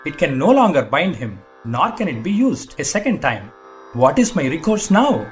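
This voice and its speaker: A frustrated demon warrior prince voiced by a male actor thinks aloud in agitation. His fairly high male voice moves up and down dramatically. Soft Indian classical music sits behind the devotional audio drama. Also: sing-song, moderate pace. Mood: distraught, frustrated. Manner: agitated inner monologue. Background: Carnatic instrumental music.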